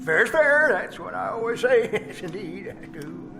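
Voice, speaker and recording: A man's voice: high voice, big pitch swings, normal speed, gravelly, heavily acted. A man playing a smarmy, shifty employer in an old-time radio drama, fussily polite, hesitant and prone to chuckle. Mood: smarmy, eager. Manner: hesitant, chuckling, over-polite, flustered delivery. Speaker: amateur radio troupe actor. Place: live performance at a winery. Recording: live stage performance with music.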